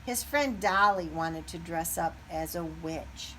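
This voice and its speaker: A woman reading a children's picture book aloud expressively, pausing to put questions to young listeners. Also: lowish voice, sharp tone, a little hiss or hum, low-quality recording.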